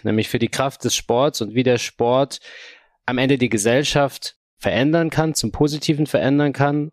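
A man's voice: mid-range voice, dry recording. Dry-sounding earnest male podcast host. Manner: earnest, formal interviewer. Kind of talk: semi-scripted podcast hosting.

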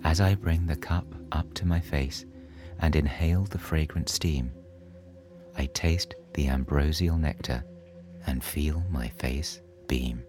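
A man narrating a scripted bedtime sleep story in a soothing, hushed, unhurried storytelling manner. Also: a bass voice. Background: soft relaxation music.

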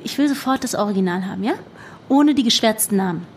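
A woman's voice: mid-range voice, lively intonation, fast talker, a little hiss or hum, lightly acted. An actress playing a determined, suspicious young woman working undercover in a German audio drama, pressing and insistent in manner. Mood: insistent, agitated.

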